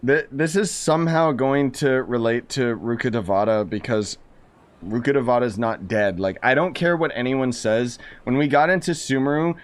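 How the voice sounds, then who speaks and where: A man's voice: mid-range voice, moderate pace. Sarcastic man doing gaming commentary. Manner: sarcastic, mocking. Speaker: gaming streamer. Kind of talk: casual playthrough commentary. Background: faint game music.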